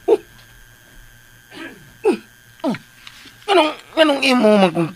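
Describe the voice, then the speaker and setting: A man's voice: very high-pitched, sing-song, takes it slowly, breathy edge, heavily acted, poor audio. A man playing a folksy, deferential household helper in a radio drama, rambling and repeating himself.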